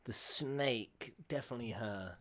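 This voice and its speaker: A man's voice: mid-range voice, measured pace, noisy background. A man giving casual, playful and theatrical reaction commentary on video game cutscenes, full of mock-suspicious asides.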